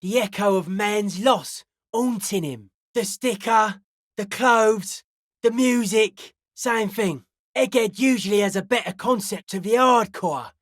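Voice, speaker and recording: A woman voicing a suspicious, aloof young hardcore raver in a video game, speaking in deadpan, clipped, cryptic pronouncements. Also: mid-range voice, no room sound, clean studio sound. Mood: deadpan, wary. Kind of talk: video game character dialogue.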